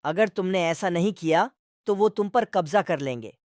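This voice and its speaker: A woman's voice: on the low side, lively intonation, normal speed, darkish tone, no echo, clean studio sound, close to an everyday voice. A woman voicing a benevolent, commanding god in a cartoon, solemnly giving instructions. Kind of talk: cartoon voice acting.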